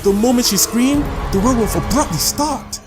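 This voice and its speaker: A man's voice: very high-pitched, lively intonation, moderate pace, music in the background. A man narrating a story as a scripted, dramatic audiobook reading.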